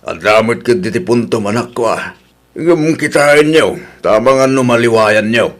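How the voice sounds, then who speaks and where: An actor playing a caring elderly father in a radio drama, gently admonishing his grown son. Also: mid-range voice, animated, normal speed, natural voice. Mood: concerned.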